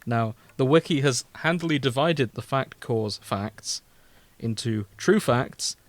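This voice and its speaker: A man's voice: mid-range voice, a little slow, no room sound. A British man chatting casually as a podcast co-host.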